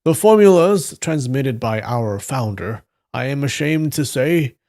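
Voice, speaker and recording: A man playing a humble, slow-natured senior Taoist monk with formal speech, in a storybook. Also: medium pitch, lively intonation, darkish tone, pitched above the performer's own voice. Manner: formal, self-deprecating.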